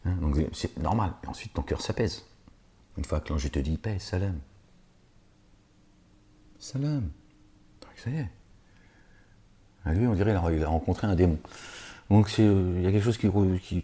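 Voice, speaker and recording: Low husky-voiced man reading and commenting. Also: lively intonation, normal speed, pauses often, dark tone. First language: not Arabic. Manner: digressive, sarcastic asides, hesitant fillers. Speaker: religious lecturer reading scripture. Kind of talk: scripture reading with spoken commentary.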